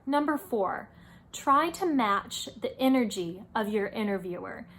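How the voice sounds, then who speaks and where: A woman's voice: on the high side, lively intonation, a little slow, fairly bright tone, a little hiss or hum. A woman hosting an informal advice video, explaining things in a conversational, encouraging way. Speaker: host giving career advice. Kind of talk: informal instructional video talk.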